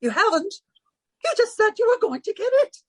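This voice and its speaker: Very high, theatrical society hostess. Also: female voice, sing-song, a little fast, dry recording, clean studio sound. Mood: fussy, brisk. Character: fussy, dramatic, excitable society hostess wife. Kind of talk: 1940s radio comedy acting.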